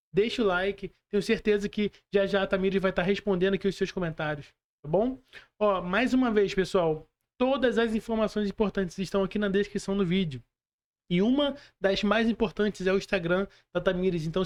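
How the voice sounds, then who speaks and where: A man with a Brazilian accent and a distinctly high voice hosts a casual podcast. He talks informally and effusively, using plenty of slang as he addresses his guest and audience. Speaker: podcast host.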